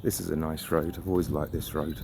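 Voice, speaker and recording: A man's voice: a bass voice, flat delivery, brisk, gravelly. A man talking casually and musingly as he walks outdoors, opinionated and mildly sweary. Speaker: vlogger. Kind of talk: casual rambling walk-and-talk monologue. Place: outdoors, walking suburban riverside streets. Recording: outdoor background noise. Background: outdoor ambience.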